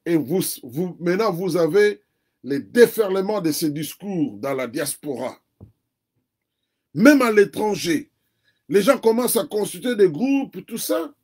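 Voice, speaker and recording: High-voiced Congolese man, earnest. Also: big pitch swings, darkish tone, breathy edge. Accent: Congolese French. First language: Kikongo. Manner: earnest, deliberate, lecturing. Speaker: interview guest. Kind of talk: political commentary in an interview.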